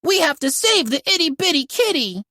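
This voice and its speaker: A woman voicing excitable, eager young cartoon rescue puppies in a storybook, with cute exclamations. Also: very high-pitched, normal speed, no echo, studio quality, voice pushed up, full character voice. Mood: gushing. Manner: exclaiming, baby-talk catchphrases.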